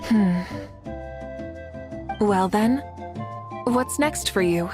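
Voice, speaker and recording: Confident ruler, calm, composed, unhurried, slightly high voice, faint game music. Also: female voice.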